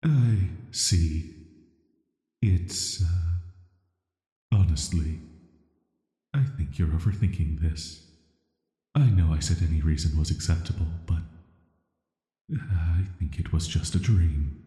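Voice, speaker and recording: Man voicing a deep, dark, echoing cursed villain. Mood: evasive, calm. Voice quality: soft, hushed, atmospheric. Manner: flat, hesitant, slow, measured delivery. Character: banished cursed male villain, soft, flirty, secretly dangerous dream visitor. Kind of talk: scripted roleplay sleep-aid audio drama. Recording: echoing, long reverb.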